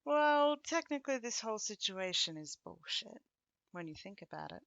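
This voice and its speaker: A woman's voice: medium pitch, sing-song, gravelly, fairly bright tone, no echo, dull audio. A woman playing a bitter, taunting young ex-girlfriend in an ASMR roleplay, speaking to the listener in a teasing, sardonic way. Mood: taunting, cold. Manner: teasing, sardonic, with dry chuckles and pointed pauses.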